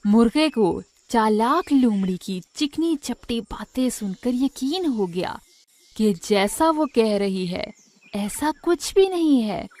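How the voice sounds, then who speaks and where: Female narrator for children's tales: slightly high voice, very unhurried scripted delivery. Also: animated, a little mellow.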